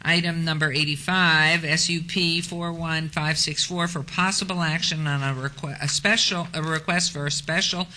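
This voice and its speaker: A woman serving as mayor, chairing a city council meeting at a desk microphone in council chambers, brisk and chatty. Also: low-pitched, fairly even intonation, bright, forceful tone, low-quality recording. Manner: brisk, chatty, laughs easily.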